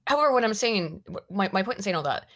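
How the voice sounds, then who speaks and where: A woman hosting a casual political chat stream, talking in animated, rambling bursts full of restarts and self-corrections. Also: medium pitch, fast. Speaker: stream host. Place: lives in the UK.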